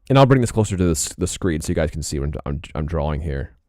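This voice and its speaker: A young man streaming casually while he draws, rambling in a laid-back way and often clearing his throat. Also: extremely low pitch, expressive, fast, darkish tone, dry recording, studio quality. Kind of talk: casual live-stream chat while drawing.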